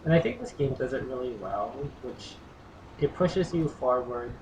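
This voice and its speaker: A man with a raspy, worn-out voice chats loosely over a game stream, mid-pitched and unhurried with plenty of ums. A clear hiss of background noise sits under the recording. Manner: relaxed, thinking aloud with fillers. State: raspy, tired from little sleep. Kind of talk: casual gameplay commentary.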